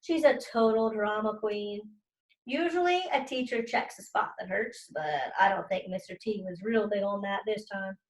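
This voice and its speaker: Expressive woman teacher reading aloud, echoey room. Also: medium pitch, moderate pace. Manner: expressive, stressing key words. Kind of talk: children's read-aloud with teaching commentary.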